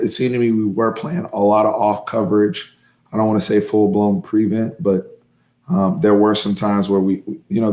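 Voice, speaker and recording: Hoarse male sports fan host, gravelly and low-pitched, emphatic live recap. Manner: excitable, emphatic, repeats phrases. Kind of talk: live-stream game recap, casual.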